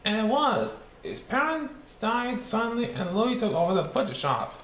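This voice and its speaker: Cheerful cartoon sponge reading a storybook, high, wildly swooping sing-song delivery with stumbles, in an echoey room. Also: male voice, big pitch swings, normal speed, a bit bright. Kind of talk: storybook read-aloud. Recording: echoey room with faint background noise.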